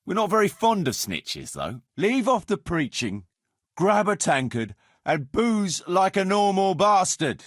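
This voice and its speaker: Rough medieval soldier, higher-than-average male voice, very expressive intonation, blunt, coarse, earthy, video game dialogue, dry studio sound. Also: a little slow, no room sound, full character voice. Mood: gruff, mocking. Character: rough, foul-mouthed medieval soldier leading a hard-drinking band.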